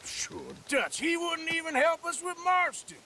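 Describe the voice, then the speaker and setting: An actor playing a weary, gruff American outlaw with a Western drawl in a video game, terse and dryly sardonic. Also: male voice, very high-pitched, sing-song, unhurried, bright, forceful tone, heavily acted. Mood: weary, reluctant. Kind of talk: dramatic video game acting.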